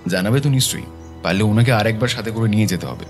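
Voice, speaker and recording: A man acts a determined, methodical detective in an audio drama. His voice is low and his delivery is serious and deliberate. Music is clearly heard behind him. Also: lightly acted. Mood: businesslike.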